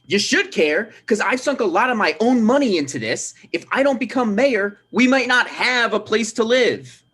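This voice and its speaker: A man's voice: high-pitched, animated, moderate pace, fairly bright tone, slightly rough, full character voice. A man playing a touchy, aggrieved mayoral candidate and grown son in a comedic table read, earnest and exasperated. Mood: hurt, irritated.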